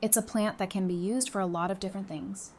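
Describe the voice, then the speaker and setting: Noisy ambience, woman playing friendly knowledgeable survivor, medium pitch, easygoing, audio drama. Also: fairly even intonation, quick pace, darkish tone, natural voice. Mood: informative.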